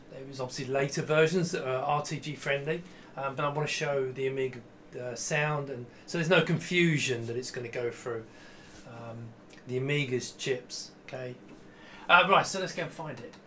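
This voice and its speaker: A man's voice: a little high, moderate pace, fairly bright tone, a little hiss or hum. A man, giving an enthusiastic, rambling, casual hands-on computer demonstration. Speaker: retro computer vlogger. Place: small indoor home computer room.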